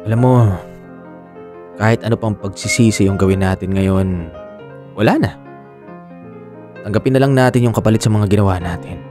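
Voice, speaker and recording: An actor with a Filipino accent playing a steady young boyfriend in radio drama dialogue, reassuring and firm but resigned. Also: male voice, fairly low pitch, moderate pace, long pauses, soft background music, natural voice. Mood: consoling.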